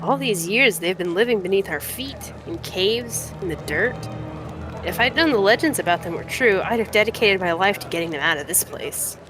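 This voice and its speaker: Loud music runs beneath a woman acting out a tough female scout from a fantasy game. Her voice sits at a middling pitch. She talks in folksy slang with wry, cheeky sarcasm. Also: expressive, moderate pace, lightly acted. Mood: firm, earnest. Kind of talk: reading video game dialogue aloud.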